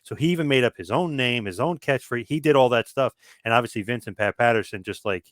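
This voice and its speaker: A man's voice: mid-range voice, lively intonation, fast, no room sound, studio quality. A man co-hosting a podcast who tells a story in casual chat. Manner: storytelling, quoting others in asides. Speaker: podcast co-host.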